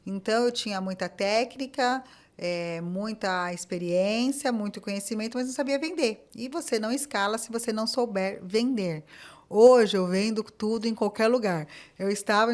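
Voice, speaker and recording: Woman, lively podcast guest, slightly high voice, storyteller who acts out dialogue. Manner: animated, acts out quoted dialogue, many fillers. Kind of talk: casual interview storytelling.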